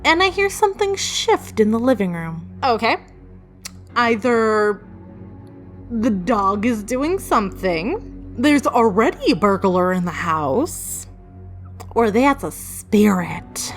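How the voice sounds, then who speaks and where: A woman telling a personal story in a casual, informal way, with dramatic pauses for suspense and acted-out thoughts. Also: a little high. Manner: casually sweary. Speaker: storyteller, video creator. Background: music.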